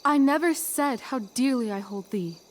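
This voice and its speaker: A woman's voice: high voice, natural voice. A woman voicing a teenage girl in a video game who recites Shakespeare on stage as an imperious sorceress. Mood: earnest, pleading. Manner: imperious, deliberate archaic delivery. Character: teenage girl playing a commanding sorceress in a school play.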